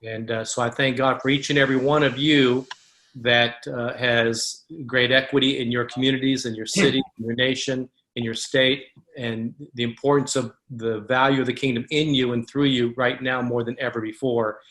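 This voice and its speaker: Earnest male host, flat delivery. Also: medium pitch, normal speed, rough recording. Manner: rambling, earnest. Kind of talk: ministry video call.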